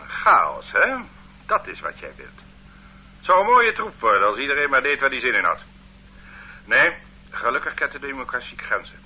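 A cold, accusing state interrogator for a radio play; husky voice, lo-fi sound. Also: male voice, medium pitch, very animated, moderate pace, pauses often. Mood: contemptuous. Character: stern male state police interrogator. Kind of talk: radio drama acting. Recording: dull lo-fi old radio recording.

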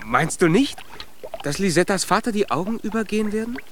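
An actor playing a proud, cheerful young dwarf inventor in a children's radio play, speaking with boastful emphasis. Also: male voice, high-pitched, animated, fairly quick, a bit bright, slight background noise. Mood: proud, excited. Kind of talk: children's radio play acting with some singing.

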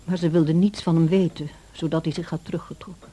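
An actress playing a sober, upright housekeeper telling her tale in a radio drama, with a husky voice. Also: deep voice, fairly even intonation, fast, warm tone. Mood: grave, measured.

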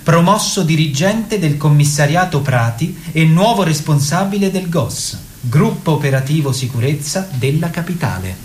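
A man's voice: on the high side, animated, moderate pace, few pauses, noisy background. Man, actor narrator, scripted radio theatre, dramatic narration, roomy echo. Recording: roomy, echoing sound.

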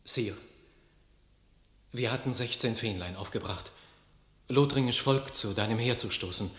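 A man's voice: mid-range voice, close to an everyday voice. A man playing an awed knight messenger. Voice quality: vivid, narrating, awed. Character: knight telling of a miracle in battle. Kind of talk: classic radio play acting. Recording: roomy studio radio recording.

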